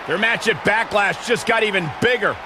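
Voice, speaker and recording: Game wrestling announcer played by a man: very high-voiced, excited, emphatic play-by-play over clear background noise. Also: moderate pace, close to an everyday voice. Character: excited, fair-minded male wrestling announcer. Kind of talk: sports commentary. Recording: loud arena noise behind.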